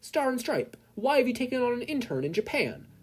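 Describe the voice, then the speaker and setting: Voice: mid-range voice, big pitch swings, brisk, slightly rough, pitched above the performer's own voice. A performer voicing a pushy, demanding news reporter in an audio story.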